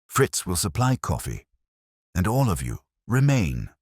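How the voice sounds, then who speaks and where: A man's voice: sits low, very animated, a little slow, breathy edge, no room sound, clean studio sound, heavily acted. A man voicing a commanding, heavyset detective in an audiobook, with formal, peremptory pronouncements. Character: commanding, imperious, heavyset male master detective.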